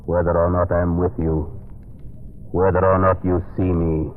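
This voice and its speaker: A cold villainous hypnotist from 1930s radio drama. His commanding voice is low and very even, coming through a tinny old recording. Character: sinister male hypnotist doctor, cold and commanding.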